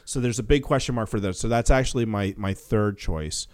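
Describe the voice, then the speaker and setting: A man's voice: on the low side, fairly quick, darkish tone, dry recording. A man co-hosting a podcast, casually discussing film news. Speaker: podcast co-host.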